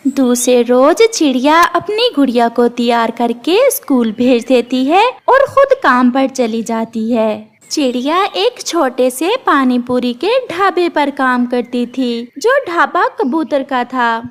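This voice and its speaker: A woman's voice: high-pitched, sing-song, takes it slowly, hardly any pauses. A woman reading scripted children's animal stories as a narrator in a storybook style.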